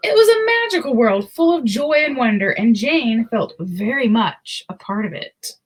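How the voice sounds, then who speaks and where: Female storybook reader, high husky voice, expressive and unhurried, chatty between passages. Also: low-quality recording. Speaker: host of a picture book read-aloud. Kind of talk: storybook read-aloud with casual asides.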